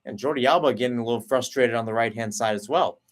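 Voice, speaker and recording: A man at a commentary mic calls a soccer match move by move. His delivery runs quick and steady through pass after pass, then climbs in pitch and energy when a chance opens. His voice sits at a plain middle pitch for a man. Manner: rapid running call, rising on chances. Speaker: play-by-play commentator. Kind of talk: live soccer play-by-play.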